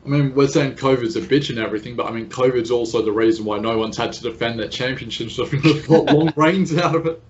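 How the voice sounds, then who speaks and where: An Australian man hosts the interview, chatty and quick with his opinions. His voice sits at a middle pitch. The audio has a dull, lo-fi quality. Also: a little hiss or hum. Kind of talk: casual interview chat.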